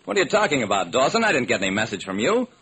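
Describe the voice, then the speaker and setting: An actor playing a wry, sharp-witted detective who narrates and acts in an old-time radio drama. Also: male voice, mid-range voice, very animated, very fast, breathy edge, exaggerated voice. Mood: inquisitive. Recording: noisy vintage radio recording.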